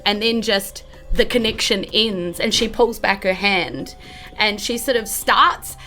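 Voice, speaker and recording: A woman's voice: medium pitch, unhurried, bright, forceful tone, faint music behind. A woman, narrating a tabletop game off the cuff as game master. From New Zealand.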